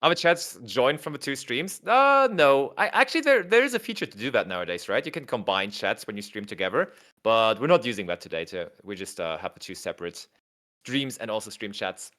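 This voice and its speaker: A man's voice: medium pitch, big pitch swings, a little fast, a bit bright. A man with a German accent doing casual livestream commentary, chatting with his viewers, reading their messages aloud and laughing often. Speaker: tournament co-commentator.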